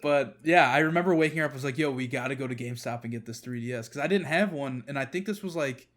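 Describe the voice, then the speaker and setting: A man with a medium-pitched voice chats as a podcast co-host. His delivery is informal and conversational, wandering loosely from thought to thought. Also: fast talker, a bit bright. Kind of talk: casual chat about games.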